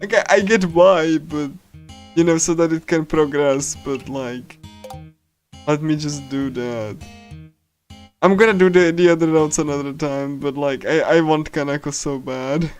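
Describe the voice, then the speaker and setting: A man with a slightly high voice gives casual live commentary while playing a visual novel. He rambles, restarts and repeats phrases as he reacts. Soft guitar music from the game plays behind him. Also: unhurried.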